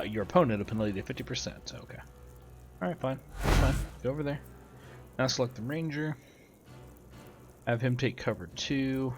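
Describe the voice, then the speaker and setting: A man hosting a gameplay video, casually commenting and reading tutorial text aloud. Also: medium pitch. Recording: faint background noise, game ambience.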